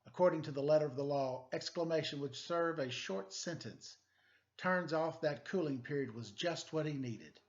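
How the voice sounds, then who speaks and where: A man's voice: on the high side, moderate pace. A man reading a children's story aloud expressively, with casual explanatory asides for young listeners. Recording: dull lo-fi sound.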